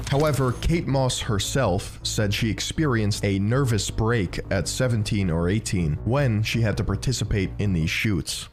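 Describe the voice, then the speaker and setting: A man with a fairly low voice narrates a video essay from a script. Soft music plays underneath his documentary-style delivery. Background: faint music.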